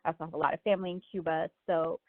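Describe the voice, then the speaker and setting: A woman's voice: low-pitched, flat delivery, racing, dry recording. A woman from Miami speaking casually and reflectively as a guest author in an interview, often saying "like" and "you know.". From Miami, United States. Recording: narrow phone-line sound.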